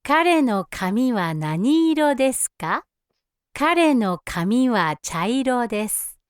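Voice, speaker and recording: A woman reading scripted language-course prompts in a slow, careful, precise way. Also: on the high side, very animated, clear, resonant tone, no echo, studio quality, soft background music. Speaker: language course audio speaker.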